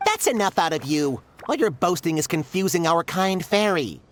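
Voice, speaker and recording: A woman's voice: lowish voice, animated, normal speed, lightly acted. A woman playing a blunt, skeptical paper creature in a video game, dismissive and exasperated. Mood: exasperated, scornful. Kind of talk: video game cutscene acting. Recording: music bed behind voice.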